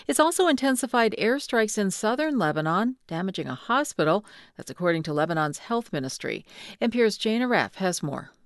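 A woman newscaster reads the headlines in a crisp, measured way. Her voice sits at an ordinary middle pitch. The studio sound is close and dry. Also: dry recording. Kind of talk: news bulletin reading. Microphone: studio mic.

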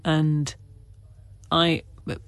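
A man from Wales being interviewed as an author, speaking with a stammer in halting, earnest, reflective stories full of restarts. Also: fairly high pitch, flat delivery, fairly bright tone, no echo. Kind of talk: candid conversational interview.

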